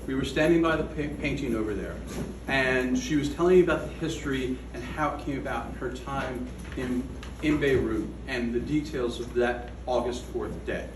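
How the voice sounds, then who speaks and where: A man reading his own poem aloud at a gallery event, slow and measured, with falling line endings. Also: mid-range voice, noisy background. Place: art gallery room. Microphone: away from the microphone. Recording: echoey room from a distance.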